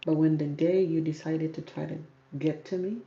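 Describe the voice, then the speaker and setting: Firm woman with a dark gravelly voice. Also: sits low, fairly even intonation, moderate pace. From South Sudan, raised in Kenya. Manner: firm, repeats herself for emphasis. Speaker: YouTube hair channel host. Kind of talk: livestream Q&A reading viewer comments. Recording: roomy, dull audio with faint noise.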